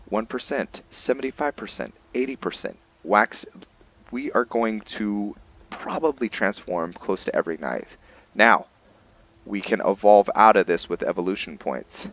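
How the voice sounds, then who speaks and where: A man with a very low voice talks through a roleplaying game in a casual, hesitant way, thinking aloud about his character. The recording is slightly dull and lo-fi. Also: expressive, a little slow, fairly bright tone. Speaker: gaming streamer and roleplayer. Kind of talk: casual roleplay gameplay commentary.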